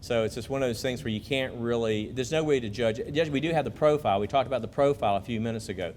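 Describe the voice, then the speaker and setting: A man's voice: medium pitch, fast talker, darkish tone, slight background noise. A man, a college admissions officer, giving a conversational talk to parents and taking their questions. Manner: conversational, rhetorical questions to the audience. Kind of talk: presentation to parents with Q&A. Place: school event hall.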